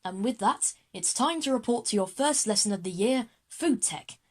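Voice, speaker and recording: A woman's voice: on the high side, expressive, normal speed. A woman voicing a formal, welcoming male headmaster making announcements in a children's audio drama.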